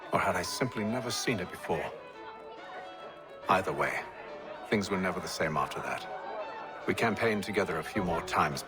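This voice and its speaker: A man acting a dignified gentleman leader for a video game, low in pitch and formally spoken. He is grave and measured, with music and crowd murmur behind him. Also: close to an everyday voice.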